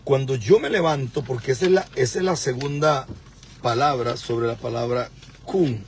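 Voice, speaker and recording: A man's voice: medium pitch, moderate pace, slight background noise. A man in his fifties preaching emphatically during a live stream from his car, repeating words for stress. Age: 50s. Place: in a car at a pay point.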